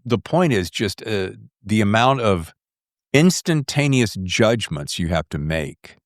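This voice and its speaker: A man's voice: lowish voice, expressive, unhurried, no echo, clean studio sound. A man hosting a podcast, interviewing in a casual, conversational and enthusiastic way.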